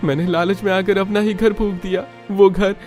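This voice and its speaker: A man voicing a weak-willed young husband in a cartoon, pleading in a high strained voice. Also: flat delivery, moderate pace, darkish tone, soft background music, lightly acted. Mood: desperate. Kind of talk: Hindi cartoon voice acting.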